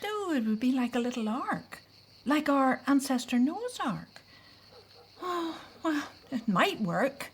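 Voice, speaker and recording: An actress in a scripted audio drama playing a frightened Hebrew mother, hesitant and often hushed as she talks to her husband. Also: high-pitched, sing-song, slow pace, a little hiss or hum, exaggerated voice. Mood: doubtful, relenting.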